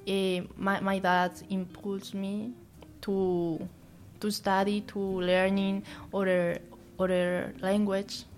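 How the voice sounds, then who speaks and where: A young woman from Colombia with a Colombian Spanish accent, a university student answering interview questions in English, her second language, halting and searching for words with many restarts. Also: medium pitch, flat delivery, darkish tone. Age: young adult.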